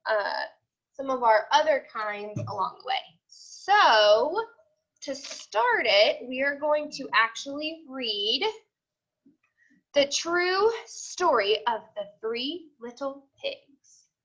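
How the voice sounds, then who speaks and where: Female elementary teacher, high-pitched, explanatory and repetitive, on a muffled video call. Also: lively intonation, takes it slowly, a bit bright. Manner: explanatory, repetitive, checking understanding often. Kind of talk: informal online class lesson to children. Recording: dull, lo-fi video call audio.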